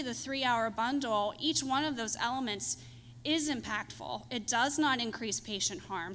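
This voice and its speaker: A woman's voice: a little high, bright, forceful tone. A woman panelist giving earnest remarks with many fillers at a conference, mic in hand. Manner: earnest, filler-heavy presenting. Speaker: hospital panelist. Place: conference hall. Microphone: handheld microphone. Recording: echoey hall, faint noise.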